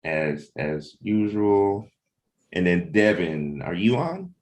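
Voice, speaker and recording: A man hosting a livestreamed table read in a casual, informal way, pausing between short phrases. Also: sits low, expressive, darkish tone. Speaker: host, comic book creator and narrator.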